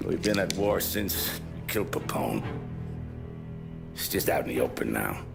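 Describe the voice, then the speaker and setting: A man playing a menacing, volatile 1930s mob boss in a video game, bullying and swinging from soft to explosive. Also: very deep voice, big pitch swings, moderate pace, gravelly, full character voice. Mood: grim, low. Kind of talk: dramatic video game cutscene acting. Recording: loud music under the voice.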